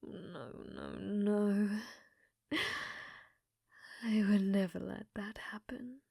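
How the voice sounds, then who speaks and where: A woman voicing an unhinged, infatuated scientist in a hushed, breathy ASMR roleplay, clinical yet flirtatious and often whispering. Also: mid-range voice, steady tone, very slow, no room sound, clean studio sound, exaggerated voice. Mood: earnest, coaxing. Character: unhinged, infatuated female scientist studying a captive alien.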